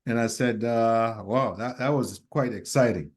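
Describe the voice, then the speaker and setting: A man who grew up in Kenya, co-hosting a casual podcast and talking in a reflective, hesitant way full of pauses and fillers. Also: mid-range voice. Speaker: podcast co-host.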